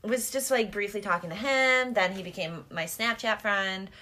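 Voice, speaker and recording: A woman's voice: medium pitch, normal speed, bright, forceful tone. A young woman with a slight lisp, co-hosting a podcast, telling stories in a casual, gossipy, candid way with frequent giggles. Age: young adult. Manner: giggly, candid, sweary oversharer. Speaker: podcast co-host. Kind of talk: casual gossipy chat and storytelling.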